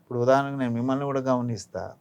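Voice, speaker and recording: A man's voice: medium pitch, very fast, a little mellow, clear, resonant tone. A middle-aged or older man with a Telangana Telugu accent, talking as an interviewed actor, reflecting and giving advice through examples. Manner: didactic, illustrating points with examples.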